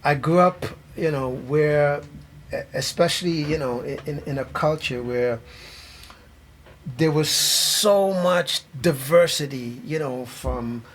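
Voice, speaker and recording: A middle-aged man from Trinidad and Tobago talks loosely about his years as a drummer, tripping over his words and laughing easily. His voice sits fairly high for a man, with a faint hum of room sound behind him. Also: takes it slowly, a bit bright. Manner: rambling, many restarts, laughs easily. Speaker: drummer interviewed by bandleader. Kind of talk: casual interview chat.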